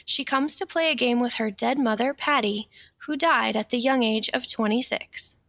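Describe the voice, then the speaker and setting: A woman's voice: a little high, moderate pace, a bit bright, dry recording, clean studio sound. A woman reading scripted scene-setting narration for a radio play.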